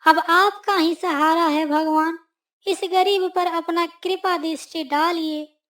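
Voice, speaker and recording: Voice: very high-pitched, steady tone, slow, a bit bright, voice pushed up, heavily acted. A narrator voicing a sick, worried poor mother in a cartoon story, with a thin voice and plaintive manner. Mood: worried, pleading.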